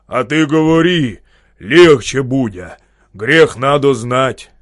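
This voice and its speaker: A man voicing a big bearded peasant who booms and roars at people. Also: animated, slow, no echo, studio quality. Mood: scolding. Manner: booming, roaring.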